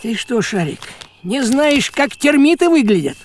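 An actor playing a thrifty, bossy talking cat in an animated series, with an officious manner. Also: high-pitched, a little slow, over music, heavily acted. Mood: reproachful. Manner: businesslike, officious, scheming.

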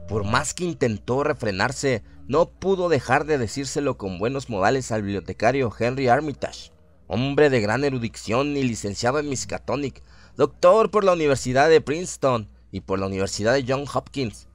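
A man's voice: mid-range voice, lively intonation, normal speed, no echo. Man narrating a horror radio drama.

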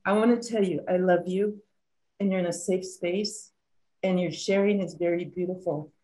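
A woman's voice: lowish voice, steady tone, normal speed, warm tone. A middle-aged woman talking affectionately and chattily over a video call from a room at home. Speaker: aunt speaking in the audience. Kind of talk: warm personal remarks on a video call. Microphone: webcam mic on a video call.

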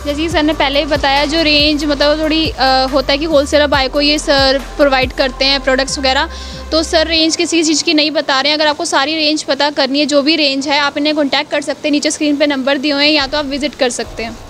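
A woman's voice: high voice, flat delivery, fast, fairly bright tone. Young female shop-tour presenter, gushing sales patter, handheld mic, music bed. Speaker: video reporter. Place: indoor wholesale shop.

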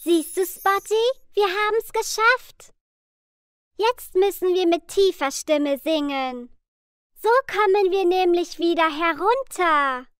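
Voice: very high-pitched, slow, a bit bright, no echo, clean studio sound, exaggerated voice. A performer voicing a cheerful, caring little girl in a children's educational cartoon, explaining things simply. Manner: simple, clearly explained sentences for small children. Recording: children's music behind the voice.

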